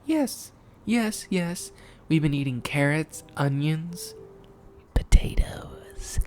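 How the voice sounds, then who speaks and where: A man voicing an eager, excitable young female piglet from a cartoon parody. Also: a little high, slow, whispered, pitched above the performer's own voice.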